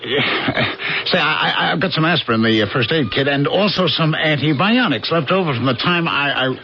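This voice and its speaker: A man's voice: fairly high pitch, lively intonation, moderate pace. An actor playing a flustered, incredulous ordinary American working man who stammers, in a 1980s radio drama. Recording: dull, noisy old radio broadcast.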